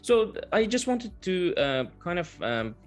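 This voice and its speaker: A man whose first language is Persian, giving measured, earnest interview answers with filler pauses over a video call. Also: high voice, sing-song, faint music behind. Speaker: guest, translation business owner.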